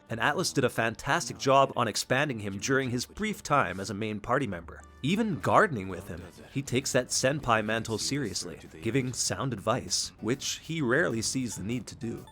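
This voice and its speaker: A man's voice: mid-range voice, a bit bright. A man giving earnest, scripted video essay narration about games in polished phrasing. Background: background music.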